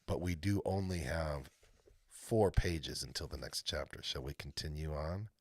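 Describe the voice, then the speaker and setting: A man reading a storybook aloud as a live host, narrating dramatically with deliberate pauses. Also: rumbling low, no room sound, clean studio sound. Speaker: read-aloud storyteller hosting a live stream.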